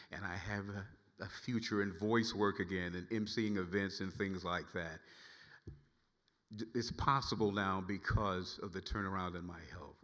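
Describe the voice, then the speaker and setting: A man's voice: on the low side, a bit bright. A man in his sixties, a former radio host, giving an earnest, grateful speech at a gala. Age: 60s. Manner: grateful, earnest, thanks the applause. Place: large banquet hall. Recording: long hall reverb.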